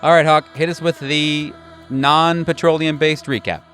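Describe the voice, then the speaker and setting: A man's voice: on the high side, flat delivery, moderate pace, fairly bright tone. A man hosting a podcast in a casual, joking style. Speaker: podcast host opening the show. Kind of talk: casual joking show introduction. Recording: music behind the voice. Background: music.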